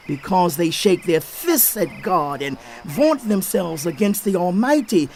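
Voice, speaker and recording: Slow, high-voiced stern old sage. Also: male voice, a little mellow, slight background noise, exaggerated voice. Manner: declamatory, accusing, deliberate. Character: stern aged male sage rebuking a sufferer. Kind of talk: dramatized scripture reading. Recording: faint outdoor insect ambience.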